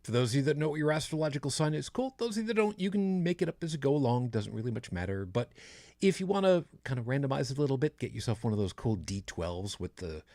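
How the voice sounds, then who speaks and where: A man's voice: medium pitch, expressive, fast, mellow, no echo, clean studio sound. A man in his fifties hosting a podcast, chatting casually in a rambling way with many restarts. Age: 50s. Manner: rambling, frequent restarts and fillers. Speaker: podcast host. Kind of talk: casual chat.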